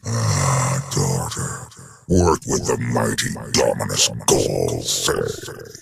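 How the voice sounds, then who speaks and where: Giant imperious game emperor villain, super slow, swoopy pompous raspy rumble. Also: male voice, animated, heavily acted. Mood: grandiose. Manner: pompous, drawn-out. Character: huge grandiose alien emperor, imperious and theatrical. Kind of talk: video game villain acting.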